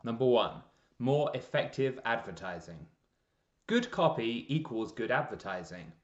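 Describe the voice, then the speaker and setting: A man presenting a scripted promotional explainer in a measured, deliberate way with emphasis on key words. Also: medium pitch, sing-song. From Canada. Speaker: presenter, freelance copywriter. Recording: roomy echo, slightly dull lo-fi sound.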